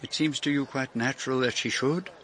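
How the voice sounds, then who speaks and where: A man's voice: mid-range voice, steady tone, brisk, fairly bright tone, close to an everyday voice. Guy acting a polite foreign detective in a radio play, formal, probing, bit of noise behind him. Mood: politely probing. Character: courteous, probing male foreign detective, narrating his case. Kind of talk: radio drama acting and narration.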